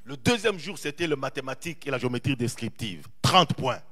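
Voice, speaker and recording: Animated preaching man, lively pitch. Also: fairly quick. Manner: animated preaching, repeats phrases, draws out key words. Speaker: pastor. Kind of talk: sermon.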